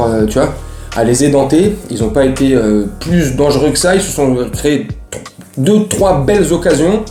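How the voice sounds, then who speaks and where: A man hosts a chatty football fan recap. His mellow, relaxed voice rings in a roomy space. He uses plenty of slang and speaks directly to the people watching. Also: medium pitch. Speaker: host of a football fan show. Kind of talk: casual match recap to camera. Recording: roomy, echoey room sound.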